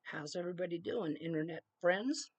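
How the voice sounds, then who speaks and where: A woman, hoarse and raspy from allergies, talking casually and with strong opinions to her viewers in a vlog. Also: fairly low pitch, animated, normal speed. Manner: chatty, opinionated, with frequent um and you know. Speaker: vlogger. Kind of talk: casual talk to camera.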